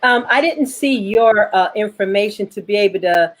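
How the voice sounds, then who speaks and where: A woman's voice: medium pitch, moderate pace, low-quality recording. A woman hosting a casual online panel chat, speaking frankly and jokingly as a filmmaker. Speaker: host, filmmaker.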